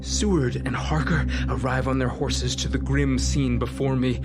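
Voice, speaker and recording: A man's voice: mid-range voice, steady tone, moderate pace, natural voice. A man playing a grave, commanding vampire-hunting doctor in an audio drama, intense and theatrical in his delivery. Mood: hushed. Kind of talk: audio drama acting, dramatic first-person narration. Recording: dramatic music under the voice.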